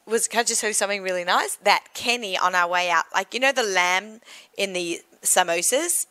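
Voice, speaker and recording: A woman with an Australian accent hosting a chatty radio show, friendly and quick to laugh as she interviews guests. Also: medium pitch, sharp tone, clear, resonant tone. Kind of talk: casual on-air interview chat.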